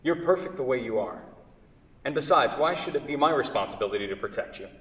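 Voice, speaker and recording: An actor playing an anguished, needy male woodworker in a theatrical monologue, halting and repeating himself obsessively. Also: mid-range voice, animated, fairly bright tone, natural voice. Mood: resentful, pleading. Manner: halting, repetitive, self-correcting dramatic delivery. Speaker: actor in a staged play reading. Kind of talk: theatrical monologue at a staged reading. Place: theatre, recorded from far off. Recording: echoey hall, distant microphone.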